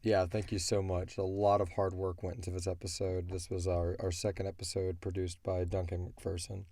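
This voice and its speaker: A man with a stuffy, congested voice chatting casually and low-key as a host answering fan questions. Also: flat delivery, normal speed, dark tone. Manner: low-key, unenthusiastic-sounding. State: congested from a cold. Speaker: host of an audio drama Q&A show.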